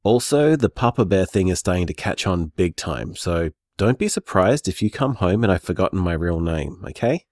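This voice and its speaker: An actor playing an easygoing, affectionate man who runs a bar, talking conversationally in an audio drama, warm and teasing. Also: low-pitched, animated, moderate pace, hardly any pauses, dry recording, studio quality. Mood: fond, teasing. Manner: warm, teasing, rambling reassurance. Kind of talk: audio drama voicemail acting, naturalistic and conversational.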